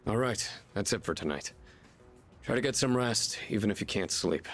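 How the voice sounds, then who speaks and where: A man playing a level-headed senior student leader, steady and matter-of-fact, in an anime-style game dub. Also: lowish voice, normal speed, soft background music. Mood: resolved.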